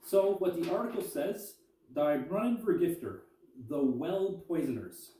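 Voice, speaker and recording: Guy reading old articles out loud w/ casual comments, really dark muffled tone, echoey room far from the mic. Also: on the high side, very animated, unhurried, a little hiss or hum. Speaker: comic collector and historian presenting.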